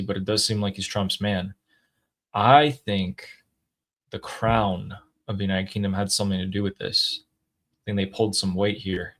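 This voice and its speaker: A man co-hosting a live stream, reading viewer messages aloud and thinking out loud in a casual, chatty way. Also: on the low side. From United States. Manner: chatty, thinks aloud with fillers and throat clearing. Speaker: co-host reading viewer super chats.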